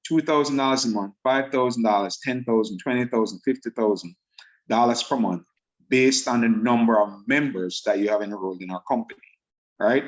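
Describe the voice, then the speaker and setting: A man with a Jamaican accent talks quickly and eagerly, stacking up figures and repeating key words. His voice sits at an ordinary middle pitch. It comes through a dull, lo-fi video call line. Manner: fast-talking, enthusiastic, repeats for emphasis. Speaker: online sales presenter.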